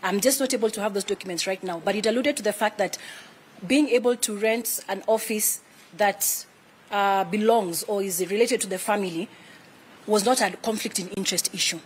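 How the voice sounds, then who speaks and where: A woman's voice: medium pitch, normal speed, slight background noise. A woman in her thirties with a Kenyan accent, answering press questions as a spokesperson in a formal, explanatory way. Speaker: spokesperson for a retired president's office. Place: indoor room with flags, press briefing.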